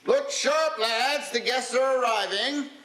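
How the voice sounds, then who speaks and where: A man's voice: very high-pitched, slow, sharp tone, echoey room, exaggerated voice, rough recording. A man playing a jolly, boisterous old merchant who calls out heartily in a radio-play style reading. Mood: jovial. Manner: hearty calling out.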